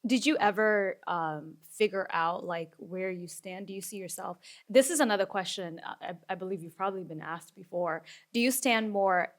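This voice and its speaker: A woman's voice: medium pitch, moderate pace, hardly any pauses. Woman hosting a casual interview. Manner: warm backchanneling, frequent laughs, curious questions. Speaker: podcast host.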